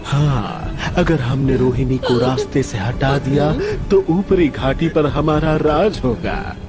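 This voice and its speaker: A man's voice: medium pitch, slow, loud music behind the voice, close to an everyday voice. A man playing a threatening, commanding tyrant dinosaur chief in a dubbed cartoon. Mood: menacing. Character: menacing tyrant dinosaur chieftain, male, hungry for power. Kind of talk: dubbed cartoon villain acting.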